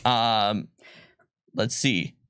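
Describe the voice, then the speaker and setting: A man's voice: fairly low pitch, extremely slow, a bit bright, smooth, no echo, studio quality. A man chatting casually as a game designer answering viewer questions on a livestream, informal and rambling with jokey asides.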